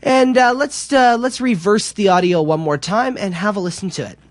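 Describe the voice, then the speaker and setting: A man giving an informal, somewhat halting software tutorial as an instructor. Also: sits high, no echo.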